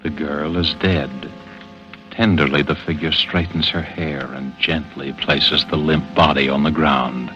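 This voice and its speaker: An ominous radio storyteller with an extremely deep voice. He narrates a vintage thriller gravely and deliberately, over prominent music on a dull old recording. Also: fairly even intonation, bright, forceful tone, full character voice. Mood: hushed, ominous. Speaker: announcer and narrator. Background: dramatic music.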